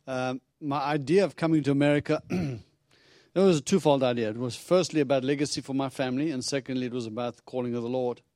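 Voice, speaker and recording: A man's voice: mid-range voice, quick pace, darkish tone, no room sound, clean studio sound. A middle-aged man from South Africa with a Texan accent, preaching a chatty, humorous sermon and acting out his talks with God. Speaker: visiting preacher. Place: church.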